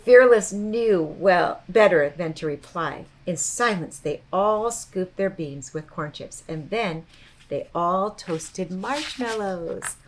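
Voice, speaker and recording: A woman storyteller reading a picture book aloud to children in an expressive, child-directed way. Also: fairly low pitch, takes it slowly, rough recording.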